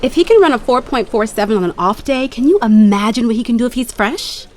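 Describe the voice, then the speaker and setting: An actress playing a young junior sports agent, brisk and businesslike with a dry teasing edge, in an audio drama. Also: female voice, a little high, lively intonation, a little mellow, soft background music, close to an everyday voice.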